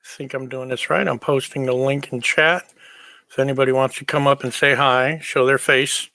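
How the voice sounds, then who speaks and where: A man's voice: mid-range voice, fairly even intonation, moderate pace, sharp tone, dry recording. A man chatting casually on a livestream, easygoing and informal as he replies to viewers. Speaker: livestream host.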